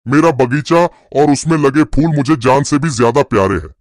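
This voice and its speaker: A man's voice: medium pitch, lively intonation, normal speed, no echo, clean studio sound, natural voice. A man playing a dangerous, threatening ghost in a dramatized moral story. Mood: angry, threatening. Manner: threatening, commanding. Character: dangerous, menacing male ghost guarding his garden.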